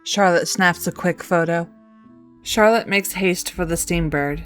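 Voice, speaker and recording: A woman's voice: on the low side, unhurried, a bit bright, soft background music. A woman reading scripted narration as the storyteller of a fan-written game quest.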